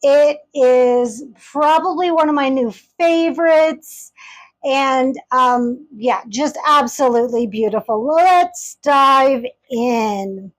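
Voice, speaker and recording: A woman reviewing a tarot deck in a casual, chatty way, gushing with enthusiasm and talking straight to her viewers. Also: sits high. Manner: chatty, addresses viewers directly.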